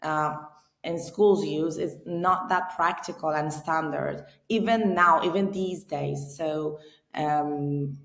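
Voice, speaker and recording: A woman's voice: sits low, fairly even intonation, takes it slowly, gravelly, reverberant. A woman in her thirties from Iran, with an Iranian accent, speaking English as a second language as an interview guest. She talks earnestly and often restarts her sentences while she searches for words. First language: Persian. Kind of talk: casual interview conversation.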